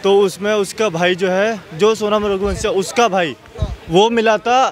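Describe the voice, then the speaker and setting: Halting, high-pitched male street interviewee. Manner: halting, circles back over phrases. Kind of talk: casual opinion in street interview.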